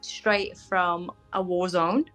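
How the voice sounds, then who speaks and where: A young woman from Syria speaks with a British accent, her first language being Arabic. She answers interview questions frankly and casually, often pausing with "um" to find her words. Soft music plays faintly behind her. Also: on the low side, steady tone. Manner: frank, fills pauses with "um", searches for words. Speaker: guest, bridal fashion designer.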